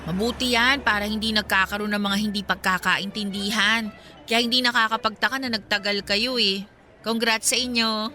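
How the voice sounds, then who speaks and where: A woman's voice: mid-range voice, fairly even intonation, normal speed, bright, forceful tone, natural voice. An actress with a Filipino accent playing a chatty, teasing young woman, a best friend, in a radio drama. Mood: friendly, frank.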